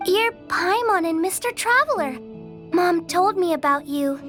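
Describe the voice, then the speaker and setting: A woman's voice: very high-pitched, animated, a little slow, a bit bright, faint music behind, heavily acted. A woman voicing a sweet, polite, frail little girl in a video game, earnest and eager to behave.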